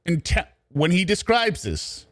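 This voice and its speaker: A man's voice: high voice, sing-song, a little slow, fairly bright tone, no room sound. A man hosting live true-crime commentary for a chat audience, speaking in a blunt, mocking way. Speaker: host of commentary show.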